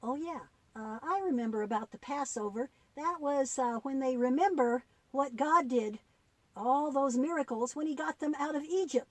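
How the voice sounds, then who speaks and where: Woman as an eager, high-voiced boy puppet. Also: normal speed, no room sound, lightly acted. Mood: curious amazement. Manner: wide-eyed, questioning, exclamatory. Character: eager, curious, easily amazed young boy puppet. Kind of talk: puppet-show dialogue for children.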